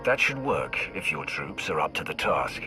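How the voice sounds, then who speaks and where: An actor playing a grim, authoritative male warlord in a video game, speaking in a measured, terse way. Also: a bass voice, very animated, music in the background. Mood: commanding. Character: grim, commanding male outsider warlord leading an alliance.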